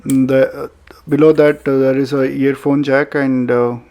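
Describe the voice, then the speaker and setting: Indian male reviewer, mellow and unhurried, hesitant delivery with lots of pauses, for a casual unboxing video. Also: mid-range voice, little pitch movement. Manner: halting, hesitant delivery with frequent filler pauses. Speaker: product reviewer.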